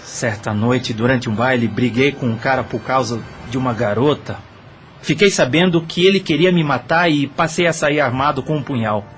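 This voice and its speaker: A man's voice: medium pitch, close to an everyday voice. A man playing a murdered brothel owner and killer who confesses matter-of-factly in an audio drama. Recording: faint background noise.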